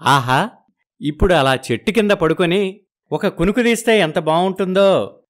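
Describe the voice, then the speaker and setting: A man's voice: high voice, expressive, moderate pace. A man playing a genial, food-loving village brother-in-law in a moral story, effusive and full of exclamations. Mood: delighted.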